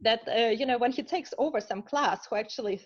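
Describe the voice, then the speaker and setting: A woman's voice: fairly high pitch, fairly quick. Hesitant Lithuanian woman, lo-fi. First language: Russian. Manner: earnest, many hesitations. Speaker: scientist guest. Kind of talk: interview answers.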